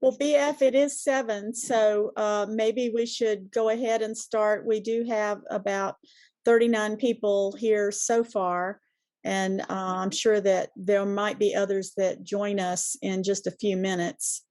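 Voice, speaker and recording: A woman's voice: medium pitch, level tone, unhurried, a little mellow, dry recording. A woman co-hosting and moderating an online meeting in a casual way over a video call.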